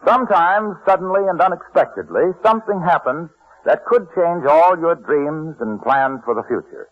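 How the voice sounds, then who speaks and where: A man's voice: fairly high pitch, lively intonation, normal speed, slight background noise. An American man announcing in polished, scripted mid-century radio sponsor style. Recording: dull vintage radio recording.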